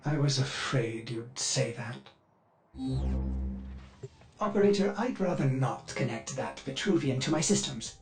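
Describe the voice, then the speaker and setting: A man's voice: mid-range voice, lively intonation, measured pace, long pauses, faint music behind. An actor playing a fussy, anxious male ship computer and eager servant in a video game, with a hesitant, theatrical delivery. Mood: anxious, reluctant. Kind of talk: video game character dialogue.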